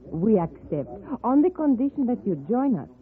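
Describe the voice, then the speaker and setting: Refined, expressive lady, tinny radio. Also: a little high, normal speed. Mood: gracious. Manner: courteous, formal. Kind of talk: 1950s radio drama acting. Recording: tinny old radio recording.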